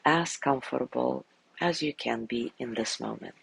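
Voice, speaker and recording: A man guiding a sleep meditation in a gentle, soothing way, softly spoken and unhurried, with long pauses between phrases. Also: medium pitch, creaky, breathy edge, rough recording. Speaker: meditation guide.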